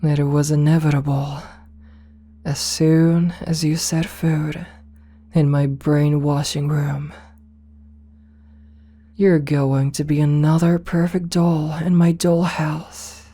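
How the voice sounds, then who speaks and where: A woman acts out a seductive, domineering lady CEO for an ASMR roleplay. Her voice is low, dark and mellow, soft and breathy to the edge of a whisper. She talks slowly and hypnotically, coaxing the listener with condescending, possessive affection. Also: little pitch movement. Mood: possessive, soothing. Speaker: voice actor in an audio roleplay. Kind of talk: ASMR roleplay, intimate slow address to listener.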